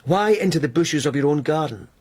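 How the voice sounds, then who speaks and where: An actor playing a male court investigator in a radio drama, probing and mannerly but goading, with measured questioning. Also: fairly high pitch, lively intonation, darkish tone, husky. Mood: accusing, goading. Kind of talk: BBC radio drama acting.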